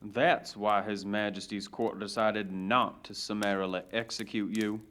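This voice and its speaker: A man's voice: lowish voice, level tone, unhurried, fairly bright tone. A man playing a haughty young male noble of an old people, with formal, aloof speech.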